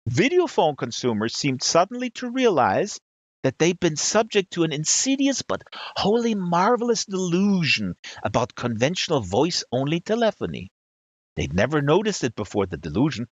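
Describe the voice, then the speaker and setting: A man reading a satirical novel aloud with deliberate, emphatic phrasing, in a dull lo-fi recording. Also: a little high, very animated, no room sound. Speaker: reader of literary excerpts.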